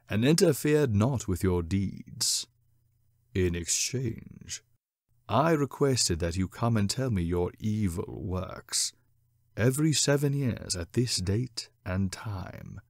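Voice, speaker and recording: Villain cat monarch voiced by a man: deep, very slow, stately and menacing, storybook style, dry close sound. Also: no room sound. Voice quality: deep, resonant. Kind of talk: storybook character voice.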